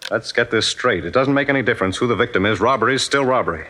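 A no-nonsense lawman from a 1940s radio crime play. He talks fast with a hard, bright edge, stern throughout. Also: mid-range voice, lively intonation. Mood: firm. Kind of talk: 1940s radio drama acting.

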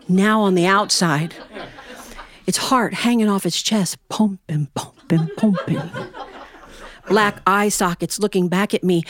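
An American woman storyteller telling a comic tall tale in an animated way, with dramatic pauses and drawn-out words. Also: medium pitch. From Ohio, United States. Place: studio in front of an audience.

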